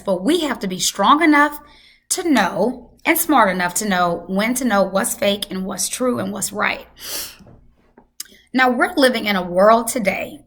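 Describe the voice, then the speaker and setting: A woman hosting a health channel, hoarse from losing her voice, talking casually and emphatically to her viewers. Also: medium pitch, fairly bright tone. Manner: emphatic, repeats for effect. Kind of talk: casual talk to camera.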